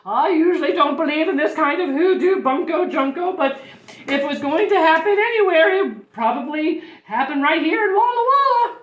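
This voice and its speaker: A brisk school nurse from a children's storybook, played by a woman reading aloud. She is bossy and firm, stressing her words in a high voice. The room gives it an echo. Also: lively intonation, lo-fi sound. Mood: decisive.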